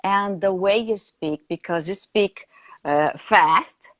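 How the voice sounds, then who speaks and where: A woman's voice: medium pitch, slow pace, no room sound. A woman from Brazil with a Brazilian Portuguese accent, hosting a casual live chat in English and often checking in with "right?" and fillers. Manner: warm, tags "right?", hesitant fillers. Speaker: host. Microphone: phone.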